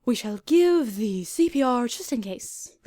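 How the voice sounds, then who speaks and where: A woman's voice: on the high side, animated, unhurried, warm tone, no room sound, clean studio sound. A woman voicing a regal pony princess who speaks in a stately, commanding, archaic manner. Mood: formal concern.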